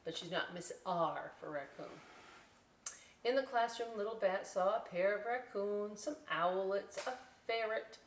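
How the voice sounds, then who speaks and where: A woman's voice: medium pitch, a little slow, reverberant. Woman, story time host, casual read-aloud, playful chatty asides, informal, distant mic, faint background noise. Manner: playful, informal, often checks in with "right?".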